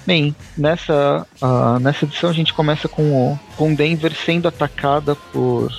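A man's voice: a little high, steady tone, normal speed, vocal fry, faint music behind, low-quality recording. A Brazilian man co-hosting a casual podcast, recapping a comic story with loose asides and frequent laughs. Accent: Brazilian Portuguese. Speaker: podcast co-host. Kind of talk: casual comic review chat.

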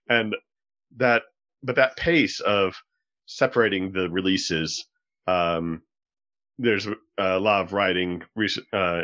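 A man talking casually as a podcast guest, halting and pausing mid-thought as he goes. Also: low-pitched, a bit bright. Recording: dull lo-fi audio.

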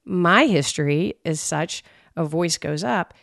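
A woman co-hosting a podcast, with an intense, emphatic voice that rises when she gets animated. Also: lowish voice, slow, creaky, dry recording, clean studio sound. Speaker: podcast co-host. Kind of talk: conversational podcast discussion.

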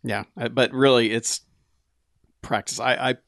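Casual podcast host, male, mid-pitched, easygoing, dry close-mic sound. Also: gravelly, no room sound. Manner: relaxed, laughs often, slows down when reading emails. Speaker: podcast host reading listener mail.

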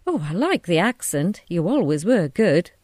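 A woman's voice: on the low side, sing-song, normal speed, a little mellow, dry recording. Woman acting a cool, sardonic grown daughter. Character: cool, sardonic adult daughter exposing her father.

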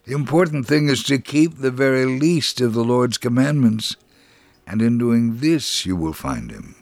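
A man's voice: mid-range voice, very animated, a little slow, a little mellow, no echo. Man narrating a testimony, close dry sound. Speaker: narrator reading a first-person testimony. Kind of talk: scripted dramatic narration.

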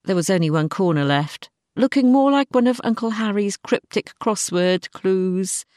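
A woman reading a scripted, diary-style short story in an expressive manner. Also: medium pitch, normal speed, no echo, clean studio sound. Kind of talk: scripted first-person story narration, diary-like.

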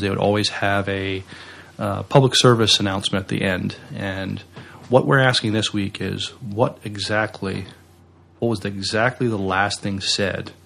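Gravelly, hesitant male podcast co-host. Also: lowish voice, a bit bright, a little hiss or hum. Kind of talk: casual podcast talk.

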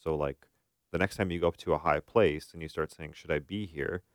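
A man's voice: a bass voice, steady tone, a little fast, clear, resonant tone, no echo, studio quality. A man co-hosting a talk show as a therapist, giving casual, explanatory advice and often asking questions to check for agreement.

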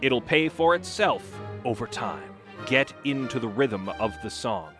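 A man gives a mock-serious, theatrical dramatic reading of how-to tips in a deliberate way. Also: medium pitch, lively intonation, a bit bright. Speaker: reader of a comic dramatic reading. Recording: music playing behind the voice.

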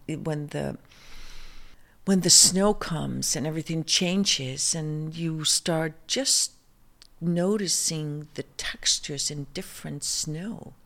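A middle-aged woman with an Icelandic accent speaks English in an unhurried, searching way, pausing on fillers and starting words over. Her voice sits a little low. She is a guest in a relaxed interview. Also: creaky. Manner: slow, hesitant, many fillers and restarts. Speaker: fashion designer guest. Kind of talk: interview conversation.

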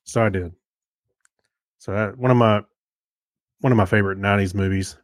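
A man's voice: lowish voice, steady tone, moderate pace, dry recording, clean studio sound. A man co-hosting a podcast, chatting in an informal, rambling way. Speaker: podcast co-host. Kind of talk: casual chat.

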